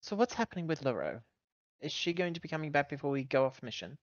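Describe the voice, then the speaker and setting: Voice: mid-range voice, sing-song, quick pace, dry recording, dull audio, close to an everyday voice. A player voicing a wry, argumentative woman who is acting captain of a spaceship in a tabletop game, informal and sarcastic. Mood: grudging.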